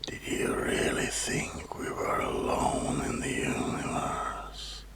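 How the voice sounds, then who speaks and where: A man playing a sinister, obsessive mad asylum doctor in a whispered ASMR horror roleplay, slow and deliberate with menacing pauses. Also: lightly acted. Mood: fervent, secretive. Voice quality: breathy whisper with gravelly creak. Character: sinister mad asylum doctor, over eighty years studying, obsessed with immortality.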